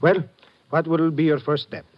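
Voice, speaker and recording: An actor playing a reserved Greek police lieutenant in an old radio drama, speaking in a precise, formal, measured manner. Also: male voice, fairly high pitch, flat delivery, lightly acted. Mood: courteous, guarded. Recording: dull, faintly noisy vintage radio recording.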